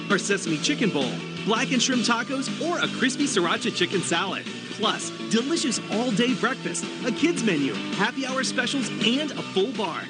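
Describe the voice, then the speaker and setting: A male commercial announcer with a high, very lively voice reads scripted ad copy. Prominent music plays all through behind him. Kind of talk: scripted restaurant radio ad read. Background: prominent music bed.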